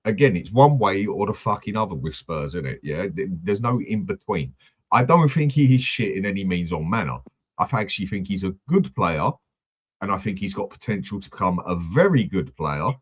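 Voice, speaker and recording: Man, low husky voice, lively swings in pitch, blunt fan-show host. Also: moderate pace. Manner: blunt, swears freely, animated. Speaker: host of a football fan channel. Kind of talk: casual fan chat.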